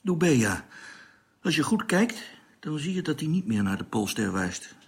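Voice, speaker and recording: A man's voice: fairly high pitch, sing-song, normal speed, vocal fry, breathy edge, exaggerated voice. A man acting in a radio drama as a grumbling, nervy, plain-spoken space crewman who talks hesitantly. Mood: uneasy, wondering.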